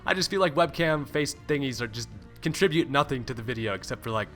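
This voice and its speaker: A man's voice: medium pitch, expressive, fast talker, a bit bright, faint music behind. A young man from California, a YouTuber reading out viewer questions and answering them in a joking, laid-back way, swearing casually. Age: young adult. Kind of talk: casual Q&A voice-over. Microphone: home recording mic, no webcam.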